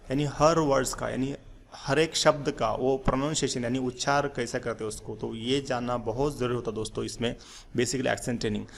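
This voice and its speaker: Gravelly man teaching a lesson. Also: mid-range voice, a little hiss or hum. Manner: rambling with many fillers and restarts. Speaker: English teacher. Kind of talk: slide-based lesson.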